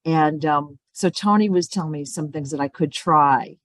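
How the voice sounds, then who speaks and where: Woman interview host, lowish voice, dry close sound, short encouraging prompts. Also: normal speed, dry recording. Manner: friendly, brief encouraging prompts. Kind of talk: casual interview.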